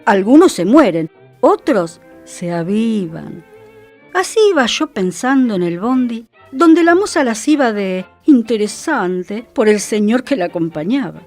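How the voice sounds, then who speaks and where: A woman's voice: a little high, sing-song, a little slow, darkish tone, soft background music. A woman performing a sardonic old-time porteño storyteller, in a dramatic, admonishing monologue with a Buenos Aires accent. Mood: wry. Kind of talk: theatrical monologue in tango slang.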